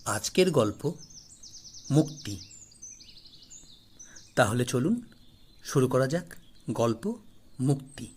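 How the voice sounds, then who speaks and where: A man narrating a scripted horror story as a storyteller, delivering it dramatically and deliberately, phrase by phrase. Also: medium pitch, lively intonation, a little mellow, husky. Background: faint background music.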